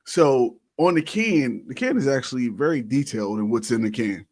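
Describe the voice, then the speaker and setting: A man's voice: mid-range voice, animated, moderate pace, a little mellow, no room sound. A man chatting casually as a podcast co-host, informal and slangy with the odd swear word. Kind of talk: casual banter and beer tasting chat.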